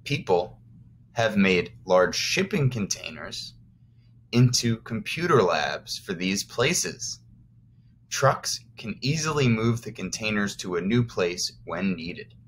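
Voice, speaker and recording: Male first-grade teacher doing a read-aloud: unhurried, very animated intonation, encouraging, simple explanations for kids. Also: fairly low pitch, slight background noise. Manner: expressive, encouraging, explains things simply for children. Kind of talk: read-aloud lesson for young children with explanatory asides.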